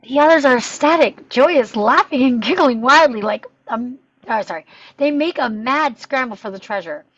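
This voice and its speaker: Female narrator for script stage directions, raspy edge, medium pitch, slightly muffled lo-fi recording. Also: animated, moderate pace, fairly bright tone, dry recording. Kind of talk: scripted narration at a table read.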